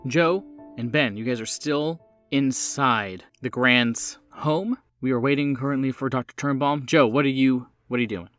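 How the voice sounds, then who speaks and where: A man improvising narration as the game master of a tabletop game in a halting, conversational way. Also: mid-range voice, a bit bright, soft background music.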